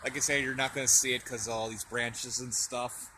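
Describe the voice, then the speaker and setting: A man giving a casual, unscripted show-and-tell as a vlogger outdoors, speaking in a halting way as he thinks aloud. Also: medium pitch, level tone, sharp tone, low-quality recording. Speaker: hobbyist vlogger. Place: outdoors in a yard in winter. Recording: outdoor camera audio with faint background noise.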